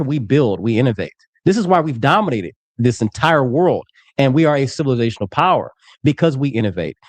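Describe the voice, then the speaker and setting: A man's voice: mid-range voice, darkish tone, dry recording. An American man arguing in a heated debate, assertive and lecturing, throwing insults at his opponent. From United States.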